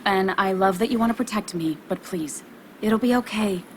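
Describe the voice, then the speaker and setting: A brave, headstrong grown daughter as a woman acts her in a narrative game. Her middle-pitched voice is firm and informal, with some weariness. A faint noise lies underneath. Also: normal speed, close to an everyday voice. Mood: firm, reassuring. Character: brave, stubborn adult daughter shielding her father. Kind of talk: video game drama acting.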